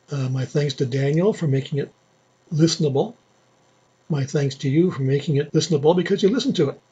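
Older guy radio host, raspy worn voice, kinda high, chatty, bit muffled. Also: darkish tone. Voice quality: husky, unreliable voice. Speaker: radio show presenter. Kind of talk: unscripted sign-off and chat. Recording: dull, muffled audio.

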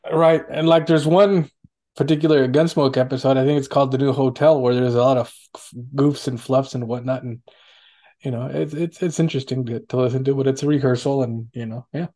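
A man's voice: mid-range voice, fairly even intonation, fairly quick, dark tone. A man chatting casually and politely in a group, stammering a little as he repeats words. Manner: polite, addresses others as sir. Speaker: meeting host.

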